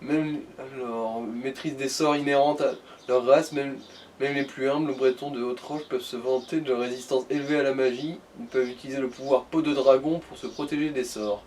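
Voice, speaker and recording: A man's voice: mid-range voice, fairly even intonation, brisk, a little mellow, recorded from a distance. A man co-hosting a let's play, chatting casually in crude joking slang and reading game menus aloud. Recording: off-mic, noticeable room noise.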